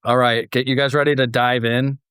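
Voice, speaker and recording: A man's voice: medium pitch, level tone, fairly quick, fairly bright tone, clear, resonant tone, dry recording. Man podcast host, dry close sound. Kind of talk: casual chat mixed with scripted story narration.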